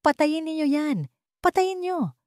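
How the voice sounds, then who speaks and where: A woman's voice: high-pitched, very animated, slow pace, darkish tone, no room sound, studio quality, pitched above the performer's own voice, full character voice. Woman acting a haughty, scheming villainess bride. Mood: frantic, shrieking. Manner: menacing, imperious. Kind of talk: first-person audiobook melodrama.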